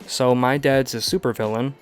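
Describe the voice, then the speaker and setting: A man's voice: medium pitch, fairly even intonation, normal speed, clean tone, close to an everyday voice. A man voicing a frustrated, defensive young woman thief. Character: young woman, defensive cat burglar heir.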